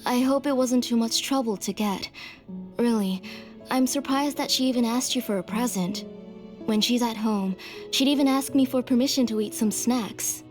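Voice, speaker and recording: Woman as a gentle, higher-pitched, guilt-ridden mother. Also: normal speed, lightly acted. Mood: fond, wistful. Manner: gentle, earnest, sorrowful, sighing. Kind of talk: video game voice acting. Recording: music behind.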